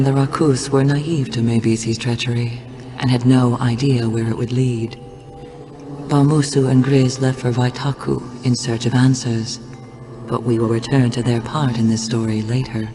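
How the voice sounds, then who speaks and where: A man's voice: medium pitch, level tone, a little slow, dark tone, a little hiss or hum, close to an everyday voice. An actor playing a grave, commanding oracle, a man speaking in formal, portentous scripted narration. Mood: solemn. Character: grave oracle of three kingdoms, commanding male seer. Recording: music behind the voice.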